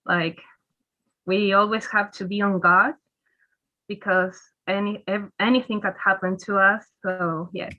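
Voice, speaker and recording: A woman's voice: mid-range voice, fairly even intonation, unhurried. A woman in her twenties from Argentina speaking carefully on a video call panel. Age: 20s. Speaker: student panelist. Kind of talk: casual chat. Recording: tinny phone-like call audio.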